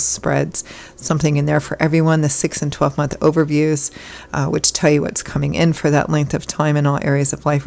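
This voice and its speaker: A hoarse woman giving a casual tarot reading as host, chatty and reassuring, her voice still froggy from illness. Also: low-pitched, a little mellow. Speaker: tarot reader.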